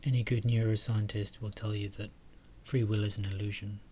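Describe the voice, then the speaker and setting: Low, even-toned man guiding a meditation. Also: brisk, slight background noise. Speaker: meditation guide. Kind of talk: guided meditation and spoken reflection.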